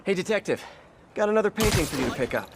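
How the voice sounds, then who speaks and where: Young wisecracking superhero, highish voice, super animated, wry game banter, noisy city behind. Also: male voice, fast. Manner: wry, playful. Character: young wisecracking male superhero, playful and eager. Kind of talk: video game voice acting, quippy banter.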